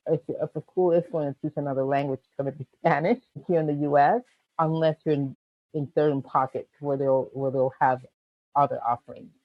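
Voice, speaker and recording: A woman from Panama with a slight Jamaican accent, answering interview questions in a clear, explanatory way. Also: low voice, no room sound, highs cut off. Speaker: guest, medical interpreting executive.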